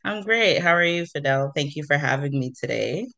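A woman's voice: deep voice, animated, normal speed, vocal fry, fairly bright tone. A woman from Toronto, a hairstylist chatting as an interview guest, with many fillers and easy laughs. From Toronto, Canada. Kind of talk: casual interview chat.

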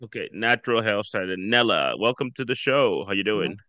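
A man hosting an interview, relaxed and casual, with frequent stumbling restarts. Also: on the low side, fairly quick, no echo. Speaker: podcast host.

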